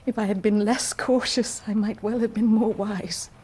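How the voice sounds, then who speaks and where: An actress playing an anguished, dignified married woman confessing a secret, speaking formally and with deliberate passion in a period radio drama. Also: mid-range voice, steady tone, warm tone, breathy edge, natural voice. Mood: anguished confession. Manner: formal, deliberate, impassioned, declamatory.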